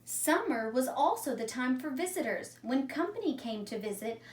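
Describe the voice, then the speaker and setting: Female teacher for children's story time, fairly high voice, simple questioning delivery, recorded at a distance. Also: sing-song, normal speed. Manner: simple wording, addresses young listeners with questions. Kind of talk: read-aloud story time for young children. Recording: echoey room, distant mic, faint noise.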